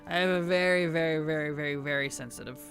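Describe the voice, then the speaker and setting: A streamer chatting casually while playing a game and answering chat, speaking around a cough drop with a slightly rough, sensitive throat. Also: mid-range voice, quick pace, fairly bright tone, faint music behind.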